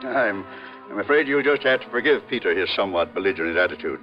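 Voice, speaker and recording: An actor playing an earnest, loyal American family lawyer in 1950s radio drama style, speaking in a measured, formal, emphatic way. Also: male voice, lowish voice, animated, faint music behind, natural voice. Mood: earnest, regretful. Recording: muffled old radio broadcast recording.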